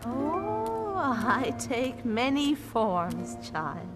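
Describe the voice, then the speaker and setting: A woman voicing a mysterious, knowing fairy who appears as a kind old lady in an animated fairy tale. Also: high-pitched, extremely slow, heavily acted. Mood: mysterious, gentle. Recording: long reverb, music behind.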